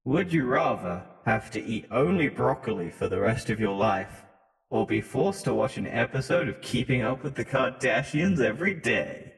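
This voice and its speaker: Male podcast co-host, medium pitch, casual and crude banter, very echoey reverberant recording. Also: animated, moderate pace. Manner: crude joking.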